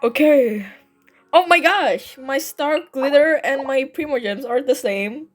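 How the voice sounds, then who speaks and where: A woman giving casual, excitable reaction commentary as she plays a video game. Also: high-pitched, measured pace. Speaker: game streamer. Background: loud game music.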